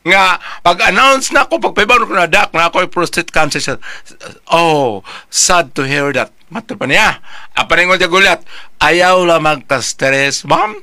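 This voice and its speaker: Older male Cebuano storyteller, high sharp voice, joking and animated, casual conversational delivery. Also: measured pace, no echo, clean studio sound. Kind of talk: casual chat with storytelling and scripture quoting.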